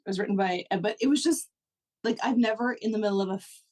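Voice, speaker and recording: A woman with a slightly husky voice co-hosting a laid-back podcast. She talks in a relaxed, dry manner, and reads prompt cards aloud in a plain, even delivery. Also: medium pitch, quick pace. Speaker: podcast co-host. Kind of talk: casual chat, reading prompt cards aloud.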